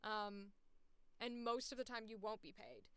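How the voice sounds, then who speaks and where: A woman's voice: mid-range voice, unhurried, fairly bright tone, clean tone, dry recording. A woman talking casually and thinking aloud through a screen-share walkthrough, blunt, self-deprecating and prone to swearing. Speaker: voice actor giving a walkthrough.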